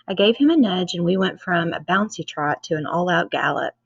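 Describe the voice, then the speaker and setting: A woman narrates a children's book with lively expression. Her voice has a gravelly fry at the edges. The recording is dry and a little dull. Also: lowish voice, fairly quick, no echo. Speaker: read-aloud reader.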